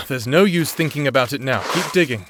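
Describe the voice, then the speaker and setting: Man acting an earnest, mid-pitched prisoner. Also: expressive, brisk, noisy background, natural voice. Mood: weary, caring. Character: earnest, principled male prisoner, cautious and determined. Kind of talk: audio drama acting. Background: music.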